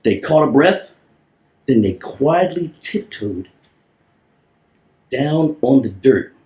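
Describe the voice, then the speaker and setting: A man tells a children's story at an unhurried pace in an echoing room. His delivery is playful and theatrical, with drawn-out words and repeated phrases. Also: medium pitch, big pitch swings, mellow. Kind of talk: animated storybook read-aloud with playful asides. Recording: roomy, echoing voice recording.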